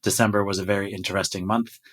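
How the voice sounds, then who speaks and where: A man's voice: fairly low pitch, flat delivery, quick pace, a bit bright. A man talking casually as the host of a podcast discussion.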